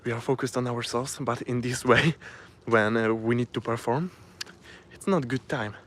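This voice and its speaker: A man with a Polish accent, a native Polish speaker, giving a casual live-stream talk from his phone, often pausing and restarting as he searches for words. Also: medium pitch, a bit bright. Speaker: self-improvement vlogger.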